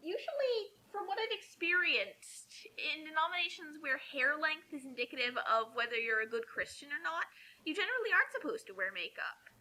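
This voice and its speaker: A woman's voice: high voice, sing-song, a little fast, sharp tone, low-quality recording. A woman giving casual, opinionated, sarcastic comic commentary as a co-reader.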